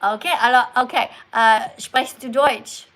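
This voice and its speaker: A woman with a fairly high voice hosts a live call-in chat. She speaks like someone giving a lesson, in short emphatic statements, and presses with pointed questions. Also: measured pace, a bit bright. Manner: probing, presses callers with follow-up questions. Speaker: livestream host.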